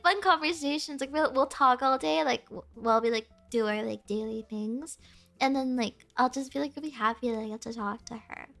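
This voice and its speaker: A woman's voice: high voice, fairly bright tone. A young woman streaming and chatting casually with her viewers, bubbly and giggly, full of "like" fillers, with a stuffy, sniffly nose.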